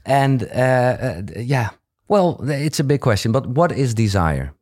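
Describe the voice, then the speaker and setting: A man's voice: medium pitch, very animated, unhurried, darkish tone. A Dutch-accented man, a podcast host whose first language is Dutch, asking questions in a casual, hesitant way with many fillers and restarts. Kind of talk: casual interview.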